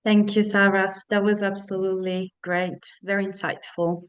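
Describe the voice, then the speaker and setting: Female webinar moderator; polite, brief handovers, clear smooth voice. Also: mid-range voice, little pitch movement, moderate pace.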